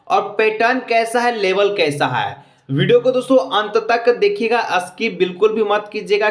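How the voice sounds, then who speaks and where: A man hosting an exam-prep channel, opening the video with a promotional pitch and repeatedly addressing viewers as friends. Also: roomy sound. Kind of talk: energetic video intro.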